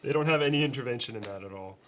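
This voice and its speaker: A man with a cold co-hosting a casual tech show, relaxed and quick to laugh. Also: mid-range voice, a little hiss or hum, poor audio. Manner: laid-back, laughs often. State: sick with a cold. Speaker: co-host. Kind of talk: casual chat.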